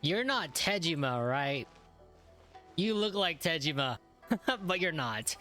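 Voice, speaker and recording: A woman's voice: low-pitched, sing-song, slow pace, over music. A woman streamer giving casual, slangy, irreverent reaction commentary while playing a game. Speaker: gaming streamer.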